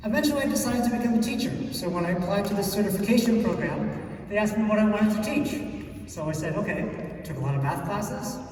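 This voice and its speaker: A man from New York City giving a short conference talk as a math teacher, relaxed and conversational with frequent "um"s. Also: sits high, quick pace. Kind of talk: short prepared talk on stage. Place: large conference hall. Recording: echoey hall, picked up from far off, noisy.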